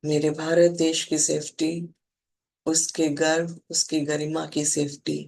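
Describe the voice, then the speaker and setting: An Indian meditation guide speaking calmly and soothingly in short, measured phrases with pauses between them. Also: medium pitch, gravelly, slight background noise.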